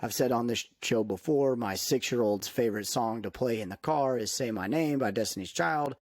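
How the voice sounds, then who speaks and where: A man co-hosting a casual music podcast, telling stories emphatically with halting restarts, on a close microphone. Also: mid-range voice, darkish tone, no room sound. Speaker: podcast co-host.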